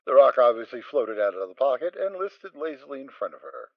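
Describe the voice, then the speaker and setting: Expressive male story narrator. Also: medium pitch, brisk, vocal fry, no room sound. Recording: dry, dull sound.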